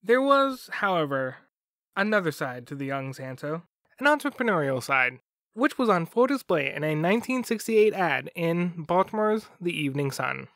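A man's voice: on the high side, big pitch swings, normal speed, fairly bright tone, no room sound. A man hosting a podcast, reading scripted documentary narration in a wry manner. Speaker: podcast host.

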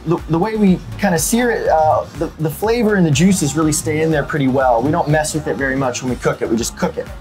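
A man's voice: on the high side, quick pace, few pauses, a little mellow, soft background music. A young man, talking casually and a little ramblingly about his restaurant's food, with lots of fillers and restarts. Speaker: restaurant owner. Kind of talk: casual interview about the menu. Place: indoors at a restaurant table.

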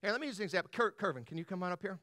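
A man preaching as a pastor in a conversational, motivational style, colloquial and animated, often checking in with the listeners. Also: high voice, very fast, no echo, clean studio sound. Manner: animated, colloquial, punctuates points with "right?" and "bro".

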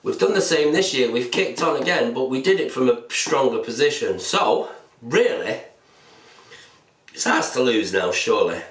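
A man's voice: mid-range voice, expressive, normal speed. A bearded man in his forties sits at a table in a small room and chats to camera about football in a plain-spoken, easygoing way. The room is echoey, and he sounds a little distant from the mic. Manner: chatty, plain-spoken, self-described pessimist. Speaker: football fan vlogger. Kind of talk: casual solo commentary to camera. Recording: roomy echo, mic set back.

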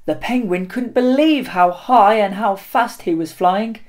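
A storyteller with a middle-pitched voice reads a picture book to young children. The delivery is slow and deliberate, with dramatic expression shaping each phrase.

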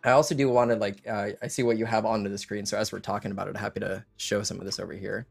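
A man's voice: fairly low pitch, little pitch movement, rapid-fire, gravelly, faint music behind. Casual male host on a video call. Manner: chatty, many fillers and restarts. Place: home room with lit wall panels and couch.

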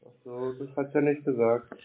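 A man's voice: mid-range voice, steady tone, moderate pace. A man chatting casually on the phone in a laconic, plain-spoken way, over a narrow telephone line. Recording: narrow-band telephone call.

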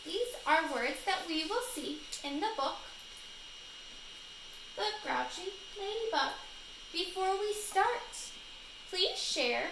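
A young woman giving a children's read-aloud lesson in a deliberate, instructional way, recorded indoors in a living room. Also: high-pitched. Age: young adult. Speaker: teacher reading aloud. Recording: echoey room, noisy home recording.

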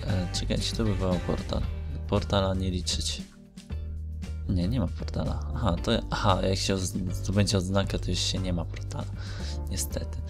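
A man's voice: low-pitched, steady tone, moderate pace. A young man giving casual, unscripted gaming commentary in a hesitant, rambling way, often correcting himself. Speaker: gaming vlogger. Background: music.

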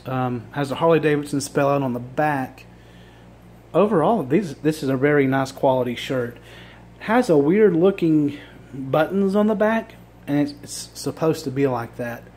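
A man with a Virginia accent, losing his voice and clearing his throat, chatting casually to a phone camera indoors as he shows off thrift finds. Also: fairly high pitch, lively intonation, normal speed, a little mellow, slight background noise. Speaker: thrift reseller vlogger. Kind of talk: casual show-and-tell vlog. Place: indoor room with items on a table. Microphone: phone camera held while filming.

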